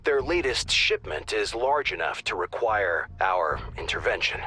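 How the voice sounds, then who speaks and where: A man's voice: on the low side, very animated, a little slow, sharp tone, husky, full character voice. A man playing a dry, wisecracking intelligence officer of a tactical squad in a video game. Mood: businesslike. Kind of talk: scripted game dialogue. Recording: music behind the voice.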